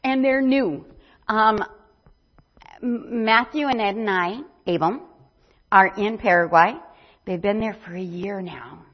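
A woman's voice: mid-range voice, slow, long pauses. An older woman giving a chatty mission presentation at church, rambling with many ums. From not from Brazil. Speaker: missionary speaker. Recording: dull lo-fi sound.

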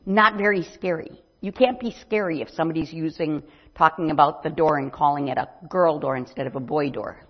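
An older woman with a lowish voice talks through a church mission presentation. She rambles warmly, filling gaps with ums. The sound is dull and lo-fi. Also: a little fast, hardly any pauses, breathy edge. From not from Brazil. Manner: warm, chatty, rambling with many ums. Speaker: missionary speaker.

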